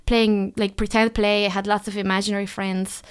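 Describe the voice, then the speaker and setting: A woman from Spain hosts a relaxed parenting podcast, talking in an easy, chatty way. Her voice is smooth and clear, and her pitch sits in the middle. She laughs now and then and lets her sentences trail off quietly. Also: brisk. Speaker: podcast host and business owner. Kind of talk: casual podcast chat.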